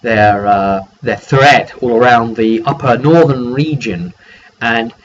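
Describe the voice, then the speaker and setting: Man rambling casually through dull, noisy audio. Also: medium pitch. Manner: rambling, conversational, acts out brief dialogue. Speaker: game master recapping a session. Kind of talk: casual one-take vlog recap of a tabletop campaign. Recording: dull lo-fi sound, clear background noise.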